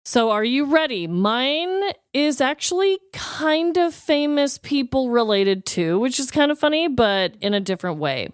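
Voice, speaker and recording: A woman's voice: high-pitched, sing-song, measured pace, few pauses, clear, resonant tone, no room sound, clean studio sound. A woman co-hosting a comedy podcast in casual, jokey chat, with a giggly, foul-mouthed manner. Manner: jokey, giggly, freely swearing. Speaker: podcast co-host.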